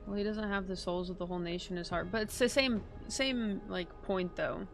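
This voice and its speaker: A woman's voice: medium pitch, fairly quick, soft background music. A woman game streamer giving casual, chatty, slangy reaction commentary over a playthrough.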